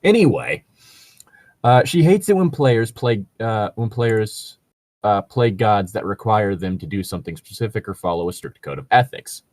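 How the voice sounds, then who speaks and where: A man's voice: lowish voice, expressive, moderate pace, darkish tone, no echo. A man chatting casually as a co-host, commenting on stories in a rambling, hedging way with casual swearing. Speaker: co-host reacting to read-out stories. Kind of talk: casual chat and commentary on a read story.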